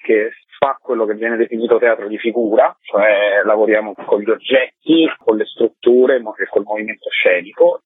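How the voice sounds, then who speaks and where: Male theatre director, husky voice, phone interview, thin narrowband line, explanatory delivery. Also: lowish voice, no echo. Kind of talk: radio interview, explaining a project. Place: phone call to a radio show.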